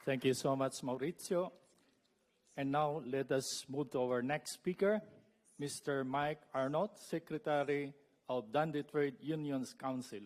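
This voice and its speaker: A man's voice: mid-range voice, measured pace, darkish tone. Man formally introducing a speaker. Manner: formal, courteous. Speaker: conference moderator.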